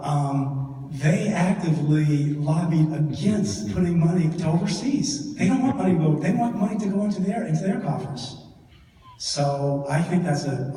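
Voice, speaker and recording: Halting man speaking in an echoey hall. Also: a little high, warm tone, distant mic, a little hiss or hum. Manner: halting, repeats words while thinking. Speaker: guest speaker. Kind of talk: answering audience questions. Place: church hall.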